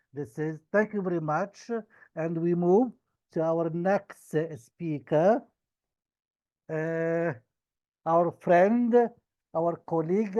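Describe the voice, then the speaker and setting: A man moderating a conference, giving a formal speaker introduction in halting short phrases. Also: fairly high pitch, dry recording, highs cut off.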